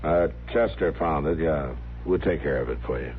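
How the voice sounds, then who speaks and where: A grave, very deep-voiced town marshal in a 1950s radio western. He talks in calm, terse, reassuring lines, as someone in charge. The old recording is narrow and tinny, with clear noise. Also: normal speed.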